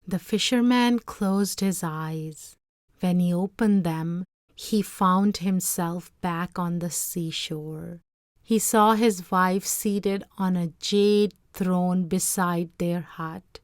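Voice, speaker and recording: A woman narrating a storybook in a measured, dramatic read-aloud style. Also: on the low side, no echo, studio quality. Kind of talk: expressive scripted storybook narration.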